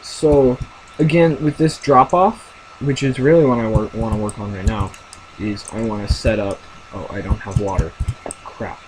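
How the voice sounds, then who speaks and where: Young male gamer, deep voice, rambling casual commentary, noisy home recording. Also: fairly even intonation, normal speed. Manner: rambling, thinking aloud with many fillers. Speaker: gamer narrating his play.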